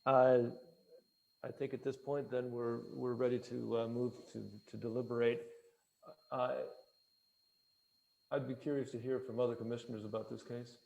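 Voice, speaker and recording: A man moderating a formal online public meeting as its chair, speaking in a hesitant, halting way. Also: medium pitch, little pitch movement, creaky, a little mellow, poor audio.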